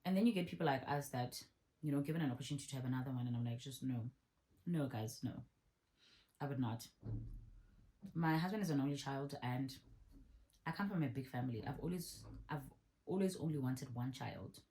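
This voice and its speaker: A woman, chatting casually as she answers viewer questions in a vlog. She talks in a relaxed way and repeats herself for emphasis. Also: extremely low pitch, fairly quick, pauses often, rough recording. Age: 30s. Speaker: vlogger.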